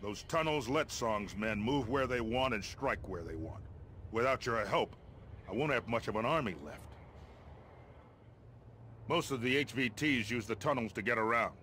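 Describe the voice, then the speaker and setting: An actor playing a gruff, blunt American army colonel with a wry, folksy streak in a video game. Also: male voice, mid-range voice, lively intonation, normal speed, bright, forceful tone, a little hiss or hum. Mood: brisk, businesslike. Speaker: army colonel, commander giving mission briefings. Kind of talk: video game character dialogue.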